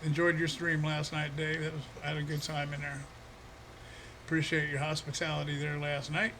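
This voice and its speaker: A man's voice: on the high side, completely flat delivery, normal speed, sharp tone, slight background noise, low-quality recording. Easygoing streamer man with a froggy throat. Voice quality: froggy, slightly hoarse throat. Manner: easygoing, chuckling, talks to chat by name. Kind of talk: casual live-stream chat while playing a farming game.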